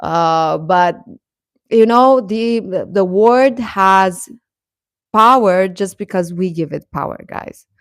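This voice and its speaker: A woman hosting a casual, opinionated live chat, speaking haltingly and defensively with repeated words. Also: medium pitch, no echo, clean studio sound. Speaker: livestream host and commentator.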